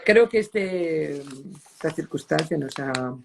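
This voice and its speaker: A middle-aged woman from Spain, speaking in a reflective interview and often pausing to search for words, with Italian words slipping in. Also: deep voice, lively intonation, creaky, a little hiss or hum. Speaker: designer interviewee.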